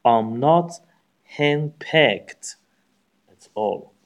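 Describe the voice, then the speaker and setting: A man from Iran with an Iranian accent, speaking English as a second language and giving a prepared speech in formal, deliberate phrasing. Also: medium pitch, animated. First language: Persian. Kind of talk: practice speech, memorized.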